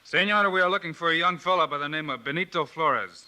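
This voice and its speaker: An actor playing a steady, firm young frontier lawman in a 1950s radio western. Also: fairly high pitch, fast. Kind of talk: 1950s radio drama acting. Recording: old radio with hiss.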